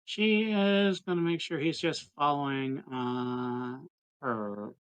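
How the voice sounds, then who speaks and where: Male tabletop player, bright sharp voice, mid pitch, casual, halting, informal. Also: clean tone. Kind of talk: casual game chat.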